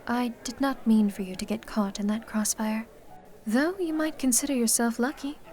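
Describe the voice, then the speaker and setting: A woman's voice: on the high side, moderate pace, a little mellow, faint music behind, lightly acted. A woman playing a refined vampire lady of a castle in an ASMR audio roleplay, speaking with cool, formal courtesy. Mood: wry, apologetic.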